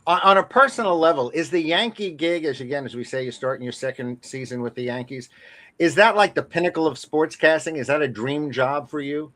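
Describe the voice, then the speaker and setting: A man hosting a conversational sports interview, putting his questions politely in halting, broken-up phrases. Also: on the high side, fairly bright tone. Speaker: interview show host.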